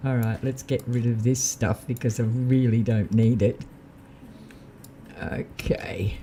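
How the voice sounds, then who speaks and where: A man with a slightly croaky voice, chatting casually and thinking aloud while he live-streams a game. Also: medium pitch, steady tone, slow pace, mellow, a little hiss or hum.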